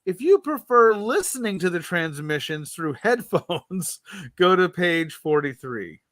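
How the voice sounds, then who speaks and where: A man's voice: high-pitched, big pitch swings, unhurried, a bit bright, dry recording, studio quality, full character voice. A man reading as a female communications officer. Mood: helpful. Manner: reading aloud from a book.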